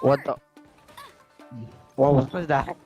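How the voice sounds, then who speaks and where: A man's voice: mid-range voice, fairly even intonation, slow pace, a little mellow, lo-fi sound, faint music behind. A man chatting casually while he plays a game on a live stream. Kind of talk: casual gameplay chat.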